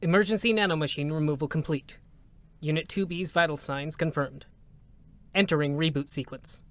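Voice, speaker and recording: A man voicing a formal, impassive support robot, with clipped, procedural, deadpan delivery in a dramatic reading. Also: a little high, moderate pace, close to an everyday voice. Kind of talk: dramatic reading of game characters. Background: music.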